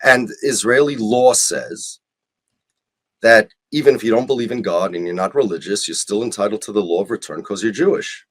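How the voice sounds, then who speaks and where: A man speaking as an interview guest, arguing emphatically with rhetorical questions and deliberate repetition. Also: fairly low pitch. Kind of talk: impassioned argumentative interview talk.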